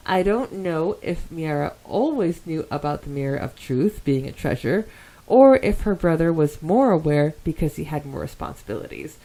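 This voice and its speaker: A woman with a mellow voice that sits a little lower than most. She co-hosts a casual podcast, moving between reading listener comments and adding her own asides. Her delivery is chatty, enthusiastic and prone to giggles. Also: big pitch swings, moderate pace, low-quality recording. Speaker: podcast co-host.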